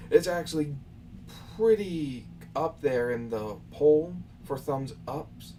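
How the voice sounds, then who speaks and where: A man's voice: mid-range voice, mellow. A young man hosting a casual live question session, talking loosely and lecturing his viewers with some exasperation. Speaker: channel host answering viewer questions. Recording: far from the mic with faint background noise.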